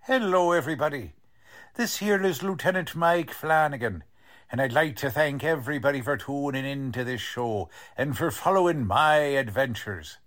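A man performs a folksy 1940s police lieutenant of radio drama. His voice has a medium pitch. He addresses listeners proudly and plainly in character. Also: normal speed, fairly bright tone, lightly acted. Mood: folksy, pleased.

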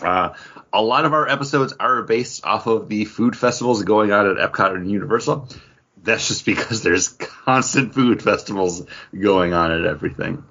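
A man with a low-pitched, distinctly husky voice chats casually as a podcast co-host. His audio is a little muffled and lo-fi. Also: moderate pace, pushed and bright. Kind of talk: casual chat.

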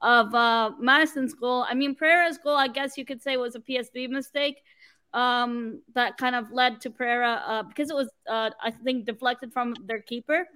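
Bright, crisp-voiced woman football guest. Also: fairly high pitch, fairly even intonation, moderate pace. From Canada. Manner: hesitant, many fillers and restarts. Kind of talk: casual football chat.

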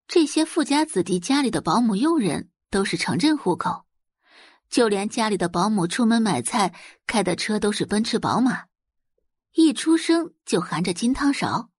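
Woman narrator for serialized audio drama, expressive delivery, lively pitch, husky, dry sound. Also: dry recording.

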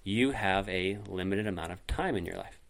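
A man's voice: low-pitched, moderate pace, vocal fry. A man co-hosting a podcast in casual chat, explaining points at length with frequent ums. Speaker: podcast co-host.

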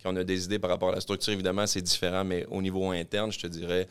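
Québécois man, very low voice, clear and smooth, rapid rambling talk, podcast guest. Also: level tone. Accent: Québécois French. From Quebec City, Canada. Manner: fast, rambling storyteller with many fillers. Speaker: podcast guest, clothing-store co-founder. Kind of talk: casual interview chat.

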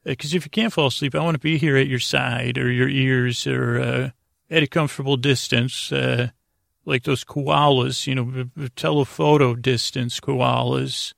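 A man hosting a bedtime podcast in a gravelly voice, rambling haltingly and repeating words as he drifts into goofy, gently silly tangents. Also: medium pitch, fairly even intonation, no room sound, clean studio sound. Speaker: host of a bedtime sleep podcast. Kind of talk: rambling, meandering bedtime monologue.

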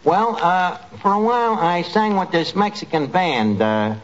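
An actor playing a boastful, slangy tavern manager with a New York accent in a 1940s radio comedy. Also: male voice, sits high, very animated, unhurried. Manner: slangy, mangles his words. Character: boastful, bluffing wisecracking male tavern manager. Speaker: comic lead of a radio sitcom. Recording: muffled, noisy 1940s radio recording.

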